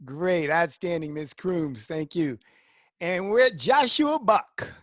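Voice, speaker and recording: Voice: medium pitch, lively intonation, slow pace, no echo. An upbeat moderator calling on panelists in an online forum. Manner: upbeat, repeats praise. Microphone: video call.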